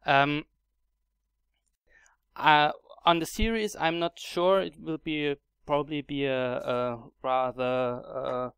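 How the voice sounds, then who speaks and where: A man's voice: on the high side, steady tone, slow pace, a bit bright, clear, resonant tone, no room sound. A man with a German accent narrating a casual game tutorial, hesitant with many fillers and restarts. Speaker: Minecraft mod video creator.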